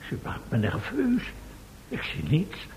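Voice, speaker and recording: A man's voice: wildly swooping pitch, slow, vocal fry, darkish tone, heavily acted. A man playing a testy, bossy professor in a radio play, impatient, sarcastic and commanding. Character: testy, bossy male professor leading an expedition. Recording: roomy, faintly noisy old radio recording with rumble.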